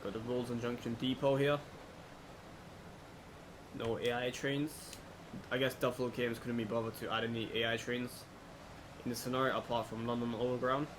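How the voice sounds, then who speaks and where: A man giving casual, low-key let's play commentary, thinking aloud as he goes. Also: normal speed, pauses often. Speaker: gaming video host. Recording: clear background noise, steady hum.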